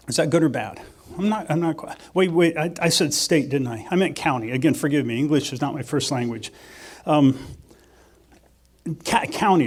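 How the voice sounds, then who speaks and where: Man giving a conversational economics talk. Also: on the high side. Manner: conversational, dry self-deprecating humor, thinks aloud. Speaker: agricultural economist presenting. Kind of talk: conference presentation.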